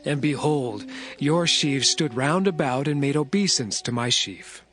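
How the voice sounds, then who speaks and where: A man's voice: mid-range voice, lively intonation, unhurried, a bit bright, faint music behind. A man playing an earnest teenage dreamer in a Bible drama, speaking in a declamatory way. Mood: excited, wondering. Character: earnest seventeen-year-old dreamer boy. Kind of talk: dramatized Bible audio acting.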